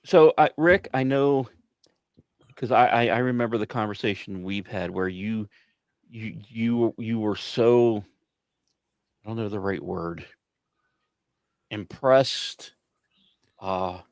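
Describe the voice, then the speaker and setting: A man hosting a casual panel, halting and repeating his words as he steers the conversation. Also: fairly low pitch, darkish tone, no echo, clean studio sound. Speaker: host and songwriter.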